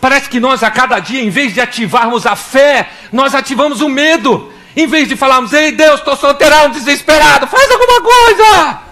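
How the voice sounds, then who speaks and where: A young Brazilian man with a Brazilian Portuguese accent preaching a sermon as pastor, animated, acting out little scenes and prayers in different voices. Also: extremely high pitch, normal speed, few pauses.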